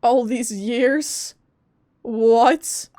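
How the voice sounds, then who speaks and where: A woman streaming a game, reacting casually with expressive, exclamatory commentary. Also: fairly high pitch, extremely slow, no echo, studio quality. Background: game music.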